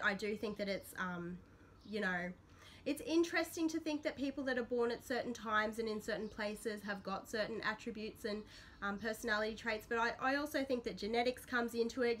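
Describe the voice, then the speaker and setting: Slightly croaky, bright-voiced woman vlogging at home. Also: medium pitch, slight background noise. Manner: chatty and rambling, frequent ums, self-deprecating. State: a little sick, slightly croaky voice. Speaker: substitute host of a witchcraft vlog. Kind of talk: casual to-camera vlog talk. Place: small bedroom indoors. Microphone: built-in camera mic at close range.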